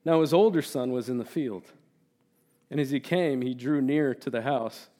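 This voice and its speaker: A man who grew up in Turkey and spoke Turkish first, preaching an earnest, animated sermon as a guest preacher and reading scripture aloud. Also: mid-range voice, normal speed. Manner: earnest and animated, repeats words when emphasising, reads scripture aloud.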